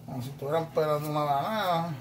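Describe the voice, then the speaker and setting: A man chatting casually while cooking in a home kitchen, relaxed and joking, recorded on a phone held by someone else. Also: fairly high pitch, quick pace. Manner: relaxed, confident, jokey. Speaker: home cook showing a ginger drink. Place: small home kitchen, indoors. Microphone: phone held by the other speaker. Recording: phone audio in a noisy kitchen, a bit off-mic.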